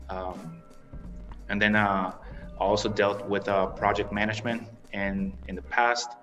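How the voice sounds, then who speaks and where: A man's voice: on the low side, fairly bright tone, big-room sound. A man from Peru introducing his engineering career on a livestream panel, hesitant with many uhs. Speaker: mentor panelist. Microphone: video call.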